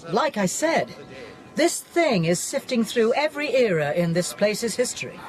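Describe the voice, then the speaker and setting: A woman's voice: a little high, sing-song, moderate pace, crowd noise, low-quality recording. A woman acting in a radio drama as a wry, pragmatic investigator who stays steadfast under threat. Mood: dry.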